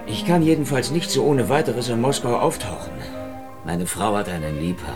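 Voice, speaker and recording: An actor playing a wisecracking, flirtatious, world-weary agent who narrates and talks in a sardonic noir radio-drama style. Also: male voice, mid-range voice, animated, a little fast, music in the background, natural voice. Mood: wry, teasing. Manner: sardonic, teasing. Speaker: main character and narrator.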